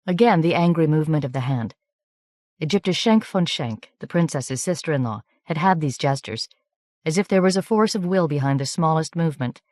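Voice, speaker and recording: A woman narrating an audiobook in a measured, even reading voice. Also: low-pitched, no room sound, studio quality. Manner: measured, even reading with light character shading. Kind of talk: scripted narration.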